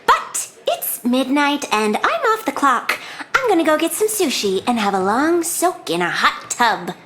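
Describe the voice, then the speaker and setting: A flippant young cartoon athlete, casual and sarcastic in a high, bouncy voice with faint noise behind. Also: female voice, big pitch swings, measured pace, sharp tone, exaggerated voice. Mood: annoyed, flippant. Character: sassy, easygoing young female brawler, fed up.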